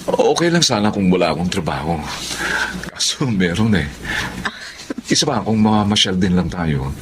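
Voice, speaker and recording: An actor with a Filipino accent playing an upright, uneasy husband in a radio drama, with faint noise behind. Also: male voice, low-pitched, lively intonation, normal speed.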